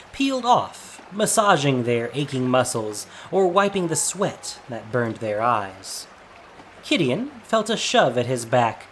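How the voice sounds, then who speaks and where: A man's voice: medium pitch, a bit bright, slight background noise. A man narrating a scripted story as a dramatic storyteller.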